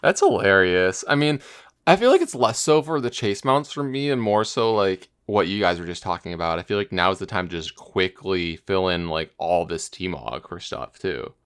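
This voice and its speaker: A man with a fairly deep voice and highly varied pitch. He talks as a podcast co-host in relaxed, informal conversation about games, with lots of casual "like"s. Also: normal speed, clean tone. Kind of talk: casual chat about online gaming.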